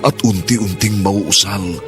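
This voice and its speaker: A man's voice: low voice, takes it slowly. A man with a Filipino accent announcing a radio drama in a grand, theatrical, scripted style. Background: music.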